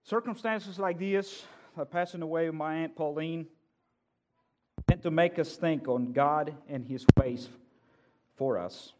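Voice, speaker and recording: A man preaches a sermon as a visiting preacher. His voice sits a little high for a man. He talks earnestly, dropping low for personal remarks and rising loud and emphatic on scripture. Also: measured pace. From El Salvador. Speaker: guest preacher.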